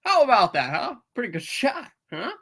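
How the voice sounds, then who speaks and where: A man's voice: very high voice, huge pitch swings, normal speed, a bit bright, breathy edge, voice pushed up, heavily acted. A man playing an earnest, restless, dreamy young small-town man in a hammed-up radio play reading, eager and theatrical. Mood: giddy, boyish.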